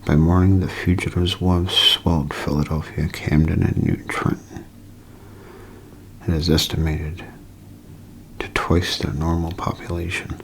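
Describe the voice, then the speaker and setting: A man playing a solemn radio news announcer in a 1930s-style drama, speaking in measured, halting phrases. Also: sits low, level tone, gravelly, slight background noise, low-quality recording. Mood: grave, somber. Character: grave male radio news announcer delivering disaster bulletin.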